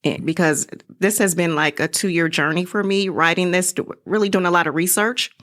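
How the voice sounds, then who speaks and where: A middle-aged woman chatting casually as an interview guest, telling her story in an animated way. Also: fairly low pitch, moderate pace.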